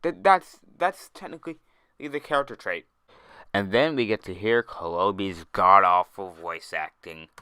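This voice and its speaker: A man's voice: on the low side, normal speed, pushed and bright, smooth, no echo. A young man ranting in an online commentary video, exasperated and often tripping over and restarting his words, close to a dry mic. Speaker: YouTube commentator.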